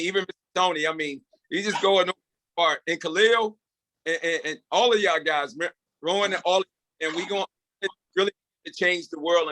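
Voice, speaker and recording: A man's voice: fairly high pitch, pushed and bright, dry recording, low-quality recording. A man giving an earnest, rambling motivational talk to a group over a video call. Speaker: project co-leader.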